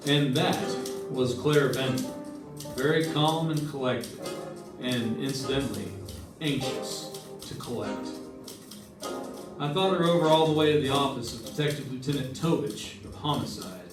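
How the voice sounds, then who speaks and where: A man's voice: mid-range voice, normal speed, close to an everyday voice. Guy doing an old radio show private investigator, dry and nosy, echoey room, kinda far from the mic. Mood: wry narrating. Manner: wry, questioning, clipped. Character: dry, probing male insurance investigator narrating his case. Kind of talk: old-time radio detective drama acting. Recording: roomy, distant stage recording with music.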